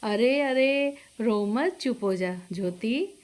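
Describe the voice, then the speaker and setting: A woman voicing a bossy but caring eldest sister-in-law in a moral story, brisk and directing. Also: a little high, animated. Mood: consoling.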